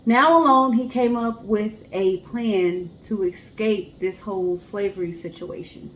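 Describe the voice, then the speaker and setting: A woman with a mid-pitched voice talks as a co-host, casual and chatty. She tells stories informally and expressively, with some background noise and room ambience around her. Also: unhurried, rough recording. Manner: chatty, expressive, acts out imagined remarks. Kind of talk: casual chat and informal storytelling.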